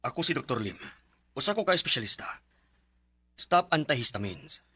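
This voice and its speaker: Casting: firm clinical doctor, lively intonation, crisp bright tone, dry studio, radio drama. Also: male voice, medium pitch, moderate pace. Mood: grave, informative. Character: authoritative male specialist doctor explaining a serious condition. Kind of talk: 90s Bisaya radio drama acting.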